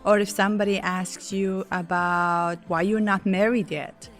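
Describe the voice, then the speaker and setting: A woman with a hoarse, worn voice hosting a spiritual podcast in a casual, chatty way, apologizing now and then and slipping in small laughs. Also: mid-range voice, fairly even intonation, measured pace, soft background music. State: hoarse, lost voice. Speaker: podcast host.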